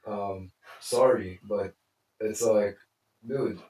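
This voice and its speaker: Low-voiced guy from lebanon, rambly casual chat, far from the mic. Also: extremely slow, mellow. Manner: rambling, many fillers and restarts. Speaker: consultant. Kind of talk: casual conversation. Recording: echoey room, mic far off.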